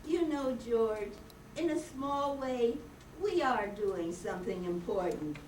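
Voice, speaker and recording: In a roomy, distant recording, a weary and gentle small-town father voiced by an older woman talks slowly and earnestly. It is plain amateur radio-play acting with measured, principled warmth. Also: a little high, animated. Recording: echoey, distant room, faint noise.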